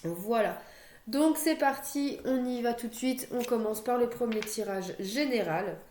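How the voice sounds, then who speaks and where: A woman's voice: on the high side, unhurried, a little mellow, a little hiss or hum. Young woman with a cold, chatty tarot reader at home, echoey computer-mic sound. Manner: chatty, many fillers and restarts. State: has a cold, coughing. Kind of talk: monthly astrology card reading. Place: home, near a stairwell.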